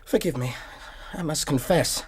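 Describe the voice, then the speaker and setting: An actor playing a polite, shaken doctor, speaking formally in a radio drama. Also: male voice, on the high side, measured pace, very noisy, natural voice. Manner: formal, courteous.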